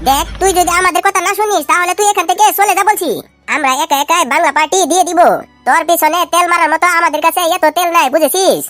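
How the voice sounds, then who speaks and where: A bullying, irritable young cartoon guy, voiced by a woman, grumbles in slang. The voice is extremely high and hurried, with faint music underneath. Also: a bit bright. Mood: irritated bossy. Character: bossy, irritable young male drinking buddy. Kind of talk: amateur comedy cartoon dialogue.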